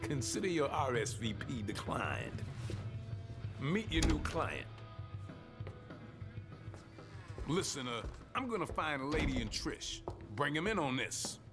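A man playing a wry, teasing job broker in a video game cutscene, unhurried and sly in delivery. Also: medium pitch, big pitch swings, music in the background. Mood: businesslike. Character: wry, smooth-talking male fixer and job broker.

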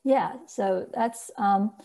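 A young woman from southeast China, a choreographer answering questions casually on a video call from a large loft room, pausing often with fillers and restarts. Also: mid-range voice, warm tone. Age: young adult. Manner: hesitant, many fillers and restarts. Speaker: choreographer guest. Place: large empty loft room with brick walls, indoors. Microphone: webcam on a video call.

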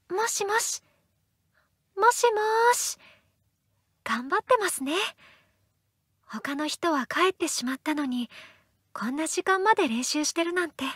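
A woman voicing a calm young swordswoman in an anime-style game, sweetly polite with a sly, needling edge. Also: high voice, heavily acted. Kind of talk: anime-style mobile game character lines.

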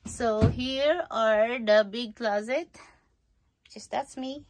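Slow-paced middle-aged woman vlogger. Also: fairly high pitch, noisy background. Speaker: family vlogger. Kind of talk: casual home tour vlog narration. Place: inside and just outside an RV trailer. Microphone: phone at arm's length.

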